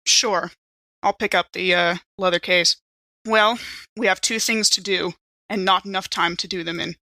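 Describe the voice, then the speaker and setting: A woman playing a tabletop role-playing game, brisk and decisive, moving between casual talk and character lines. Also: on the low side, fairly even intonation, bright, forceful tone, no room sound.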